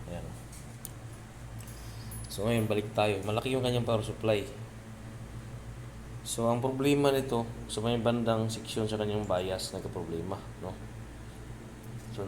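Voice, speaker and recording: A Filipino man giving a casual hands-on electronics tutorial, informally pointing out parts as he goes. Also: on the low side. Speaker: electronics repair presenter. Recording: clearly noisy home-made video recording.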